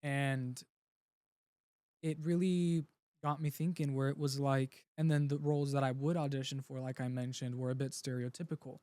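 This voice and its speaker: A man's voice: mid-range voice, flat delivery, normal speed, warm tone, dry recording. A young man from Puerto Rico, a native Spanish speaker, talking earnestly and from the heart as an interview guest, often restarting his sentences. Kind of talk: casual interview conversation.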